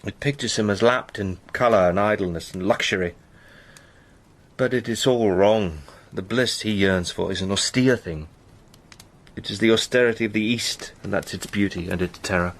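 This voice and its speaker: An actor playing an earnest, impassioned British gentleman spy with a literary turn of speech in a radio drama. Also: lowish voice, moderate pace. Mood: intense, awed. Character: Sandy, fervent eloquent British gentleman spy.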